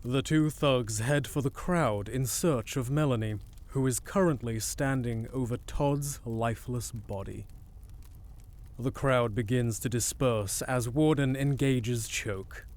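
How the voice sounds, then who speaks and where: A man narrating an audio drama from a script in a measured, descriptive storytelling manner. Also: medium pitch, a little mellow.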